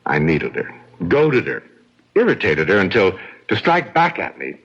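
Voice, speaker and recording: A man's voice: low voice, huge pitch swings, moderate pace, fairly bright tone, exaggerated voice. A man playing a suave, cold killer in a radio drama, speaking in a smug, theatrical, taunting manner. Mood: gloating. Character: suave, cold, gloating killer brother-in-law. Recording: dull, band-limited old radio recording.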